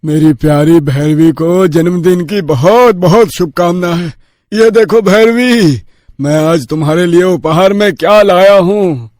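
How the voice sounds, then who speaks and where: A man's voice: high voice, a little slow, a little mellow, no room sound, clean studio sound. An actor playing a wealthy, doting father with a tender, indulgent and sentimental manner in a cartoon. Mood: affectionate. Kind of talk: cartoon character acting.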